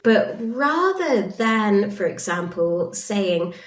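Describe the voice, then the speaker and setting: Female presenter, mid-pitched, frank and conversational, recorded in a roomy space away from the mic. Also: very animated, takes it slowly. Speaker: conference presenter, industry consultant. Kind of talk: informal conference-style presentation. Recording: roomy echo, distant microphone.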